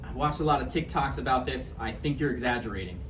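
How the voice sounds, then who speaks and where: Woman acting defensive, eager boyfriend, low pitch, conversational stage table read, roomy distant recording. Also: quick pace. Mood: tender. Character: Roger, nervous joking boyfriend, defensive and eager to please. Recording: roomy, distant mic, faint noise.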